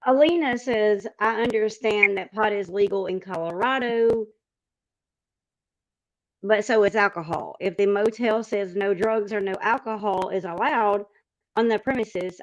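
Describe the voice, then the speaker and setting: A woman with a medium-pitched voice chats casually as a panel host. She reads comments and responds to them. The recording is very dry, with no room sound. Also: dry recording, rough recording. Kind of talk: casual chat.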